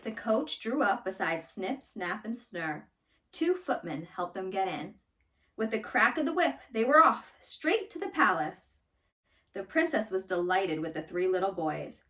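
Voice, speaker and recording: A woman reading a children's story aloud in an expressive, dramatic storytelling manner. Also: medium pitch, a little slow, recorded from a distance. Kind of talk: scripted storybook read-aloud.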